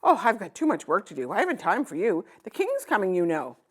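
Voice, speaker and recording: A woman's voice: medium pitch, wildly swooping pitch, quick pace, slightly rough, voice pushed up. A woman voicing busy, self-important older siblings in a children's storybook, curt and dismissive. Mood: hurried. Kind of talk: children's storybook read-aloud.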